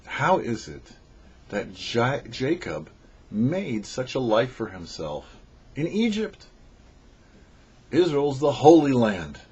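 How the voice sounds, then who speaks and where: Rabbi giving a weekly Torah talk: a man with an animated, husky voice, slow emphatic phrasing. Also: medium pitch, lo-fi sound. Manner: measured, lively teaching delivery with slow emphatic phrases. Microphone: studio microphone.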